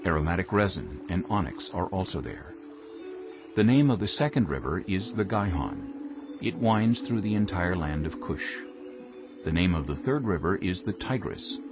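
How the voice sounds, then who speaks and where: A man narrating a dramatized scripture reading in a solemn storytelling manner with clear phrasing. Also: sits low, sing-song, normal speed. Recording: dull muffled audio under music.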